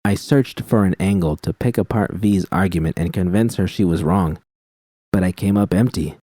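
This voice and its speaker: A man with a low voice reads first-person fiction in a steady, plain manner. His tone is mellow and the recording sounds dry and close. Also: no echo. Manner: steady, plain reading with frank profanity. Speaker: audiobook reader.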